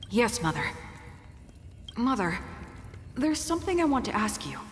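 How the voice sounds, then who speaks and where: A woman's voice: fairly high pitch, big pitch swings, a little slow. A woman voicing an earnest, questioning young boy in warrior training for a video game. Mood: earnest, doubtful. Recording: echoing hall, long reverb.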